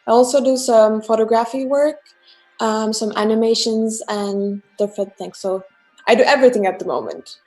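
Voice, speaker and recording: A woman's voice: a little high, fairly even intonation, moderate pace, mellow, soft background music. A woman from Turkey with a Turkish accent, chatting casually as a guest artist about her own work on a live stream. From Turkey, Istanbul. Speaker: guest illustrator and digital artist. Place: at home, remote video call.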